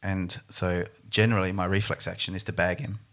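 Aussie musician bloke, kinda low voice, laughs at himself a lot. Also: male voice, level tone, a little fast, fairly bright tone. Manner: self-deprecating, laughing, restarts. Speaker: musician guest. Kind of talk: casual chat.

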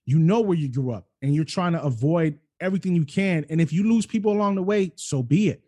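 Man, middle-aged, quick pace, mellow dark tone, emphatic podcast host. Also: fairly high pitch. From New York City and Cleveland, Ohio. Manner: emphatic, animated storyteller. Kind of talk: casual interview chat.

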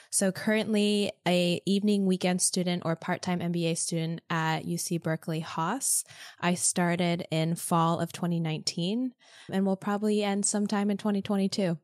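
A woman's voice: fairly low pitch, level tone, brisk, dry recording, studio quality. A woman in her thirties from upstate New York, answering interview questions in a friendly, self-assured way. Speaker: part-time MBA student guest.

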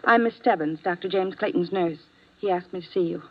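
An actress playing an earnest, worried young nurse in a 1950s radio drama, speaking politely and properly with an anxious edge. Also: female voice, lowish voice, a little fast. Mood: anxious, urgent. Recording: dull, dry 1950s radio recording.

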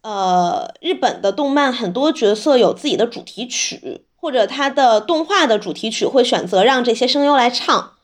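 A woman's voice: fairly high pitch, lively intonation, moderate pace, few pauses. A woman co-hosting a podcast, talking casually and informatively in conversation. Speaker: podcast co-host. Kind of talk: casual chat about fan culture.

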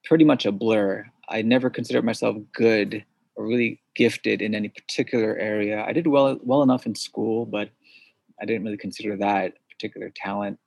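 A man from suburban New York, a podcast guest who answers thoughtfully with hesitant pauses. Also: on the low side, fairly even intonation, vocal fry, darkish tone, breathy edge, dry recording. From suburbs of New York City. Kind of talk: interview conversation.

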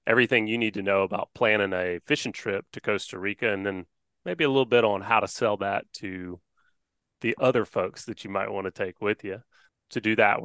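A man hosts a relaxed podcast conversation, his voice bright and forward. He rambles energetically, stacking fillers as he goes. The sound is dry and close. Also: fairly low pitch, clear, resonant tone, no room sound. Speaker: podcast host. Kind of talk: casual chat.

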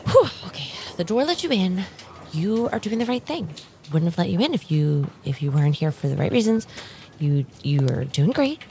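A woman playing an anxious, flustered secret agent in an improvised audio drama, muttering nervously and haltingly. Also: on the low side, big pitch swings, mellow, slight background noise. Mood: anxious, self-soothing. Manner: halting, nervous, repetitive muttering and self-encouragement. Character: anxious, flustered female secret agent, talking herself through danger.

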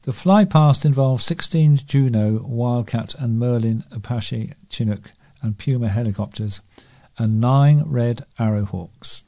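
A man's voice: medium pitch, measured pace, warm tone. A man reading scripted local news as a volunteer newsreader.

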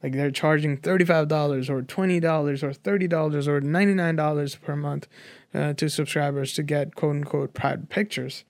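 A man's voice: fairly high pitch, fairly even intonation, a little fast, no room sound, clean studio sound. A man in his twenties from Nepal, giving his opinions in a casual, earnest, rambling conversational way as a guest. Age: 20s. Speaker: interview guest.

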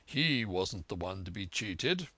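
A man voicing a sensible middle-aged country doctor in an audiobook, speaking low, wry and measured. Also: very animated, bright, forceful tone, breathy edge, no echo, full character voice. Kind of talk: audiobook character voice.

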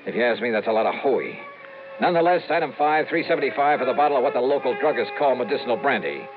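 An actor playing an impatient, brusque, hard-boiled insurance investigator in a 1950s radio detective drama. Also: male voice, mid-range voice, animated, quick pace, over music, natural voice. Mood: wry, narrating. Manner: brusque, insistent, wry when narrating. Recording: tinny, very noisy 1950s radio recording.

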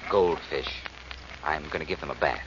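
An actor playing a cool-headed, wisecracking amateur sleuth on the run in a 1940s radio drama, glib and sardonic, on a muffled old recording. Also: male voice, rumbling low, a bit bright, a little hiss or hum. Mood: sardonic, flippant. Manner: glib, sardonic, quick with quips.

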